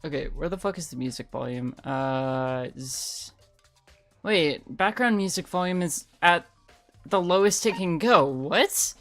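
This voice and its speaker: A woman's voice: low voice, measured pace, fairly bright tone. A young woman livestreaming a game, chatting casually with viewers; she is congested with a sore throat, laughs often and swears freely. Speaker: streamer. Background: faint game music.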